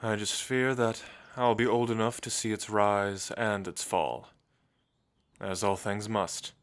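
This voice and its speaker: A man playing a refined, centuries-old gentle vampire in an intimate ASMR roleplay, speaking in measured, old-fashioned phrases with thoughtful pauses. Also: lowish voice, steady tone, clear, resonant tone. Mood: brooding, wistful.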